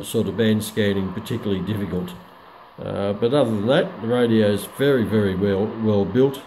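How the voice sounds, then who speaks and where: Low-voiced man over radio noise. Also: darkish tone. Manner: hesitant, halting delivery with many pauses. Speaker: radio hobbyist reviewer. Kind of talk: casual unscripted product demonstration. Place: small room at home, indoors. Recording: noisy home recording with radio static. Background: shortwave radio static and broadcast.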